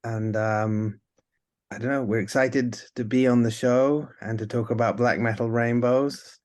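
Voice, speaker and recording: Male guest, mid-range voice, raised in Italy and from the UK, casual but halting delivery. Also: mid-range voice, fairly even intonation. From born in the UK, grew up in Italy. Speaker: guest, writer and editor. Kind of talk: casual interview talk.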